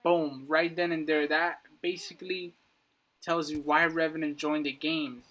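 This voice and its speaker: Bright, higher-voiced man explaining game lore. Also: flat delivery, unhurried. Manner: emphatic, addresses viewers directly. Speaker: YouTube gaming content creator.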